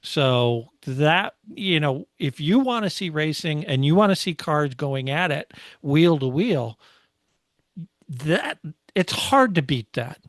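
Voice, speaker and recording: Guy with a higher-pitched voice, chatty racing podcast host, really into it, dry close sound. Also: sing-song, slow pace, dry recording. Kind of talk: casual race recap chat.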